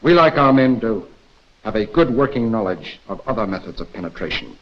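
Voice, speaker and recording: Composed American military instructor, 1940s training film, rough-edged voice, measured briefing, muffled soundtrack. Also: male voice, on the low side, big pitch swings. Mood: matter-of-fact. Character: composed male intelligence instructor briefing an agent.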